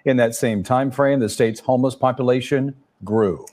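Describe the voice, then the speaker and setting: A man with a medium-pitched voice presents the news. He reads a scripted television report. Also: moderate pace, a little mellow.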